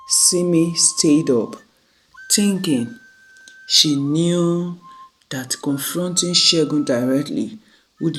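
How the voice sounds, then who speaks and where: Slow-paced storyteller over background music. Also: medium pitch, poor audio. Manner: measured, deliberate phrasing with frequent pauses. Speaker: storyteller, narrator. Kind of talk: scripted dramatic story narration. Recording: music behind the voice.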